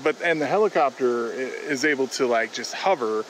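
A man's voice: mid-range voice, normal speed, rough recording. Matter-of-fact man vlogging outdoors, faint background noise. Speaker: travel vlogger. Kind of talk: casual narration to camera. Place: outdoors at a forest campsite. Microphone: handheld phone at arm's length. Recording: steady outdoor ambient noise.

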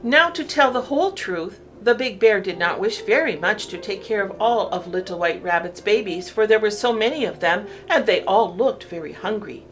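A woman narrating a children's story from a script, in an expressive, deliberate storytelling way. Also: medium pitch, soft background music, low-quality recording.

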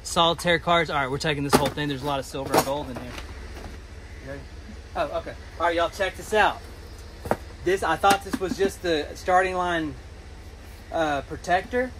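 A man in his thirties with a slightly high voice talks fast and excitedly as he digs through a storage unit. The metal-walled room is recorded on a camera mic, with clear background noise from the space and the road. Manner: excited, rapid commentary while digging through boxes. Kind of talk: casual vlog narration. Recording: noisy storage unit ambience.

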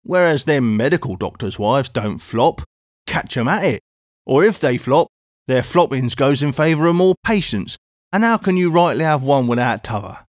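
A man's voice: medium pitch, animated, moderate pace, no room sound, voice pushed up, natural voice. Male reader as a pleading Cockney laborer, evasive and long-winded, dry close sound. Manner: wheedling, rambling, dialect-heavy. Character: cockney odd-job man, pleading, evasive.

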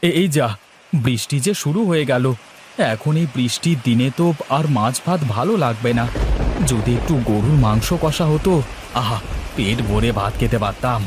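A man voicing a fretful, food-loving village husband in a cartoon, with an exclamatory, stammering, drawn-out delivery. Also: medium pitch, background noise, lightly acted. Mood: fretful longing. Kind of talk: cartoon dialogue acting. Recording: music bed behind voice.

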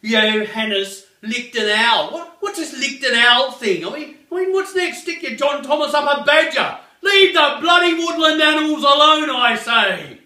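Old British war veteran, upper-class English accent, indignant ranting, guffaws, distant from mic, echoey room. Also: male voice, very high voice, lively intonation, unhurried, few pauses, pushed and bright, full character voice. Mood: blustering. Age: older. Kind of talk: comedy monologue.

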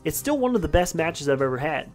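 A young man in his twenties reads a gaming countdown voice-over at a fast, eager clip. His voice sits a little high for a man. Faint game music plays beneath him. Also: very animated. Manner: enthusiastic, brisk reading. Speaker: gaming channel host.

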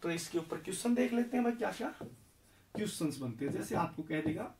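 A hoarse young man teaching chemistry at a board, explaining step by step and asking questions that he answers himself. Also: high voice, animated, a little fast, darkish tone, far from the mic, slight background noise. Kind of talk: whiteboard lecture. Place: indoor classroom in front of a green board.

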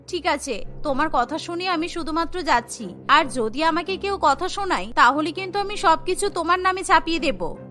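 A young daughter-in-law in a cartoon, played by a woman, cautious and earnest as she reasons. The voice is extremely high and bright, over faint music. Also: expressive, moderate pace, hardly any pauses, heavily acted. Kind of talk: Bengali children's cartoon voice acting.

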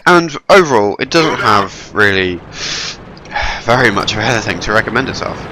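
Low-voiced man with a cold. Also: animated, normal speed, noisy background. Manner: rambling, wry, self-deprecating. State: stuffy head cold, voice going. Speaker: gameplay commentator. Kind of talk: casual gameplay commentary. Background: game sounds, gunfire and engines.

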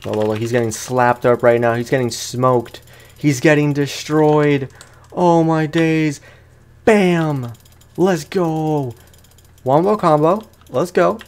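A man's voice: fairly high pitch, fairly even intonation, slow pace, a little mellow. A man giving casual let's play commentary on a video game, chatting to viewers in slangy language. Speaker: gaming YouTuber.

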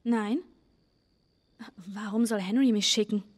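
A woman voicing a caring, candid teenage survivor girl in a German video game dub, gentle and hesitant in manner. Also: medium pitch, steady tone, takes it slowly. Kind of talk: German video game dubbing, naturalistic dialogue.

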